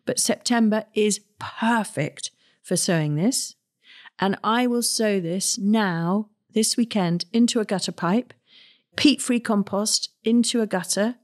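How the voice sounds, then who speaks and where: A woman's voice: medium pitch, measured pace. A middle-aged woman hosting a gardening podcast, talking in a chatty, practical way with asides and restarts. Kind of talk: casual solo podcast talk.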